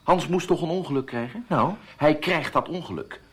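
A man playing an insistent, menacing secret lover in a radio drama, with an urgent, halting delivery that repeats words. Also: fairly high pitch, a bit bright, husky.

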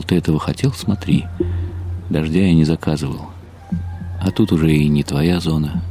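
World-weary ironic husband narrating a radio play; very deep, mellow, bitterly resigned, light music underneath. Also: male voice. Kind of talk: dramatic literary narration in an audio play.

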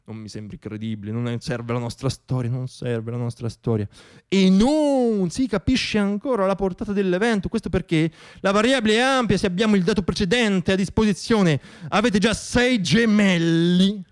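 A man's voice: high voice, normal speed, smooth, pitched above the performer's own voice, lightly acted. A man playing a cynical, pushy media man who patronises protesters in a satirical radio theatre monologue. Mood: scheming, patronising.

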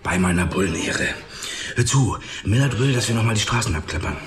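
An actor playing a tired, wired police detective in a hardboiled radio drama, emphatic, pushy and colloquial. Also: male voice, on the low side, lively intonation, fairly quick. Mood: pushy, persuasive.

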